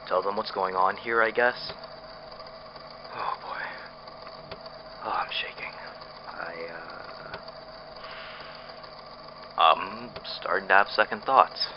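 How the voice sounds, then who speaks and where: An actor playing a frightened, bitter captive test subject recording an escape diary in an audio drama, speaking in a halting, hesitant way. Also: male voice, low voice. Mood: anxious, shaky. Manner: halting, hesitant, breathy sighs. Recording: muffled lo-fi tape with clear noise.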